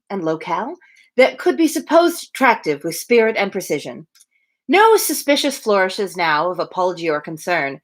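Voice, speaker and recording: A woman reading a classic novel aloud live, as an expressive storybook narrator. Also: a little high. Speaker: reader of a daily livestreamed novel reading.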